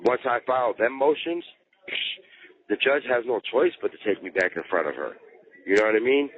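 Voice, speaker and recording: A man's voice: medium pitch, lively intonation, moderate pace. A man talking casually on a jail phone, explaining things repetitively with slang and swearing. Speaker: jail inmate on a phone call. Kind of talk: casual personal phone chat. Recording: tinny jail phone line.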